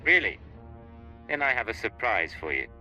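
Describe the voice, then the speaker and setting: An actor playing a confident, dry-witted secret agent in a video game, terse, understated and suave. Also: male voice, medium pitch, big pitch swings, normal speed, bright, forceful tone, soft background music. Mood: cool defiance. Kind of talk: video game cutscene acting.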